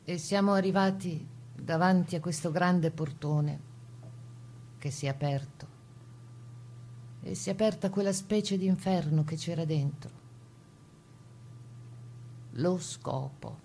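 A woman playing a camp survivor who gives her grave testimony in a radio play, plainspoken and halting, in a conversational way. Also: fairly low pitch, darkish tone, lightly acted. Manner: halting, conversational, with small fillers and tag questions. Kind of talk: dramatized first-person testimony in a radio play.

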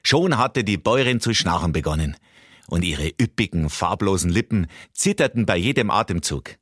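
An actor playing a deadpan, matter-of-fact narrator of earthy absurd tales in a radio play. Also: male voice, low voice, moderate pace, slightly rough, no room sound, studio quality, exaggerated voice.

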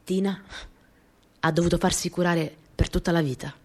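Radio play lead: actress as young Italian wartime courier, slightly low female voice, earnest and dreamy, simple reminiscent delivery. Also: fairly even intonation, normal speed, close to an everyday voice. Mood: grave, subdued. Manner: simple, conversational, reminiscent storytelling with short phrases. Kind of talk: radio theatre monologue, intimate first-person storytelling.

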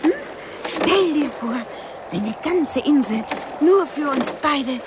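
An actress in a German radio play playing a dreamy, unhinged woman who speaks in a slow, coaxing, wistful way. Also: sits high, expressive. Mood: coaxing, rapt. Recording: loud wind, water and boat noise. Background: sea wind and rowing boat sounds.

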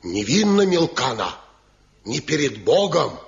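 A man's voice: mid-range voice, big pitch swings, unhurried, creaky, slightly rough, exaggerated voice. An actor playing a pious elder master craftsman in a 1970s radio play, earnest and reproachful as he pleads. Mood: solemn. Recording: dull lo-fi old radio recording.

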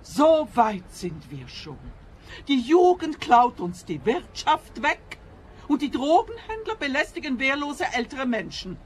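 A woman's voice: sits high, sing-song, measured pace. An actress playing an indignant, suspicious older lady who scolds self-righteously in a radio play. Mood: scolding, suspicious. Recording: clear noise and ambience behind.

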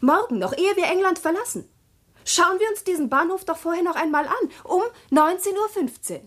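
A woman's voice: very high voice, lively intonation, normal speed. An actress in a German radio drama playing a young wife who keeps pressing her husband with questions. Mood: coaxing. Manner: questioning, insistent. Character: anxious, probing young wife. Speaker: wife Pamela.

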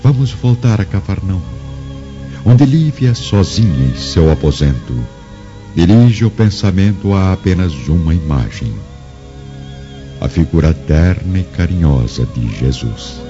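Very deep solemn male narrator. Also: animated, measured pace, warm tone. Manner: solemn, theatrical. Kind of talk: dramatic scripted narration. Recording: dull lo-fi radio drama, music underneath.

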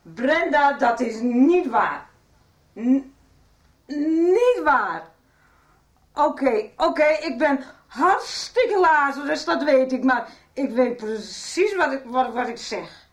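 An actress playing a drunk, bitter, maudlin mother in a radio soap, slurring and halting. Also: high-pitched, sing-song, reverberant, exaggerated voice. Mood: tearful, drunk.

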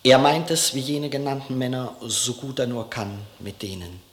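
A man playing a reflective diarist in a 1950s radio play, reading and narrating deliberately in a literary style. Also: medium pitch, fairly even intonation, slight background noise, natural voice. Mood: solemn, declamatory. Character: reflective male diarist reading a memorandum aloud. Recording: echoey room, 1950s radio recording.

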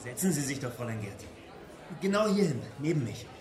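Actor as an echoey, self-important stormtrooper. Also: male voice, mid-range voice, sing-song, fast talker, a crowd in the background, natural voice. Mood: elated, courting. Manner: stiffly courteous, self-important. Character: excitable, touchy uniformed Nazi stormtrooper courting a young woman. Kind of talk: German radio drama acting. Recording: echoey room, off from the mic.

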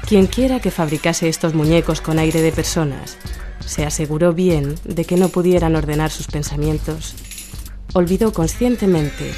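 Low-voiced Spanish woman narrating over music. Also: mellow. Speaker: radio programme narrator. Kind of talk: scripted satirical radio essay narration.

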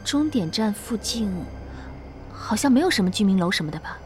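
A woman's voice: mid-range voice, big pitch swings, fairly quick, background noise, close to an everyday voice. An actress playing a hesitant, secretive young woman on a night train in a horror drama. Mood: hesitant, probing. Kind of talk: conversational horror drama acting.

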